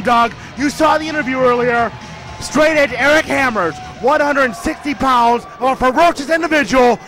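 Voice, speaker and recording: A man calling play-by-play for a wrestling show, excitedly naming moves and wrestlers. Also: very high voice, poor audio. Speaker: wrestling commentator. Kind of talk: play-by-play commentary.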